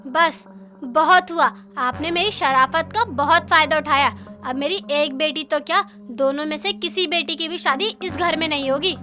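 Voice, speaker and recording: A woman's voice: high-pitched, moderate pace, pushed and bright, soft background music. A woman voicing a proper, easily indignant middle-aged mother in a cartoon story, formal and firm. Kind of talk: Hindi cartoon story voice acting.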